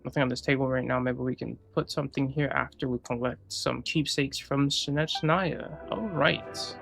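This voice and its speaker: A man's voice: mid-range voice, steady tone, normal speed, vocal fry. A man giving casual, reactive gameplay commentary, talking to himself as he plays. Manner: reactive, swearing, talks to himself. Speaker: video game streamer. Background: game music.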